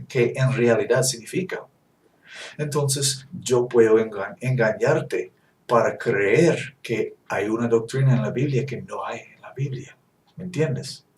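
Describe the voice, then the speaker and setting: A man's voice: medium pitch, a little mellow. A man whose first language is English, preaching earnestly in Spanish with frequent restarts and self-corrections. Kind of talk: teaching sermon. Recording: echoey room, distant mic.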